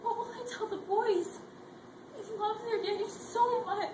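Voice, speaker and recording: A woman's voice: extremely high pitch, steady tone, unhurried. A young woman playing a frantic young wife. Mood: distraught. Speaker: readers theatre performer. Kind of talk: student readers theatre acting. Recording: echoey hall, distant mic, loud noise.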